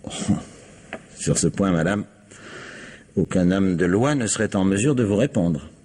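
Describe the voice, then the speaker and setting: An actor playing a cautious, formal notary who talks with measured, hesitant pauses in an old French radio drama. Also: male voice, low-pitched, expressive, dark tone. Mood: explaining. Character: cautious, formal middle-aged male notary.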